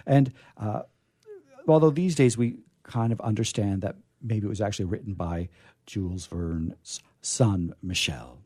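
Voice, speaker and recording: A man hosting a readings show in a chatty, off-the-cuff style, rambling and halting, with jokey asides. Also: fairly low pitch, warm tone, no room sound. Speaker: host and reader of a radio players' show.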